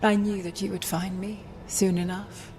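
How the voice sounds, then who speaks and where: An actress playing an ancient, regal elven goddess in a video game, wise and reproachful, speaking in a stately, formal way. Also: female voice, mid-range voice, little pitch movement, normal speed, darkish tone, a little hiss or hum, natural voice. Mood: knowing reproach. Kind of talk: dramatic video game acting.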